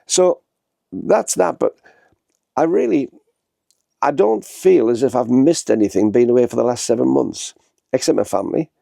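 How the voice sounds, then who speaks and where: A man, his voice thick and blocked up from a head cold. His tone is dark and gravelly, and it sounds close and dry. He rambles chattily to the camera and keeps restarting his sentences. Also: mid-range voice, lively intonation, normal speed, pauses often, no room sound. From northern England. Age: 60s. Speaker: vlogger. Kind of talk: casual talking-to-camera vlog. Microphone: small handheld camera.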